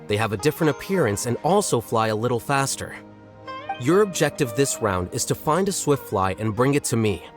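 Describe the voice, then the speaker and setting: Formal man acting a contest announcer, lively intonation. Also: mid-range voice, over music, natural voice. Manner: formal, deliberate, all business. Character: businesslike, stern male contest announcer. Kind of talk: video game character acting, announcement.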